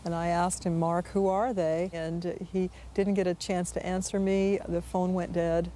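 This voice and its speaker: A woman's voice: lowish voice, flat delivery, measured pace, warm tone. A middle-aged or older woman, recounting events as a bereaved mother in a news interview.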